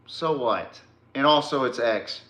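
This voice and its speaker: A man's voice: medium pitch, a little slow, fairly bright tone, reverberant. An American man hosting a sports podcast, ranting casually in a blunt, emphatic and profane way. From Texas, United States. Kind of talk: casual opinionated sports rant.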